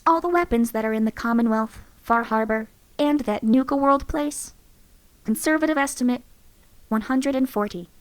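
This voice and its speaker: A woman's voice: a little high, normal speed, dry recording, natural voice, low-quality recording. A woman voicing a female game companion who is a walking weapons platform, reciting data in a precise, matter-of-fact way. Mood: factual. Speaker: voiced companion character in a game mod.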